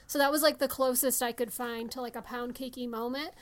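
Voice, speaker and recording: A woman's voice: a little high, steady tone, a little fast. A woman co-hosting a podcast in a casual film discussion, emphatic and meandering, often acting out imagined remarks. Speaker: podcast co-host.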